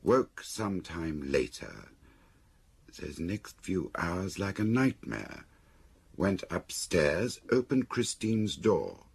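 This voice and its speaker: An actor playing a dry, sceptical policeman in a radio drama, gravelly, reading out notes in a clipped, telegraphic way. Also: low-pitched, very animated, slow pace, full character voice. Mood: businesslike. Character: dry, sceptical policeman reading back a murder suspect's statement.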